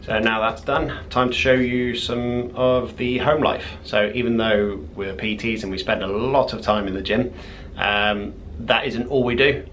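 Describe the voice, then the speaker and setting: A man, a personal trainer talking through his day in a relaxed, rambling vlog with frequent ums. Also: mid-range voice, a little slow, faint music behind. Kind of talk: casual vlog narration. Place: home, car and outdoor walk.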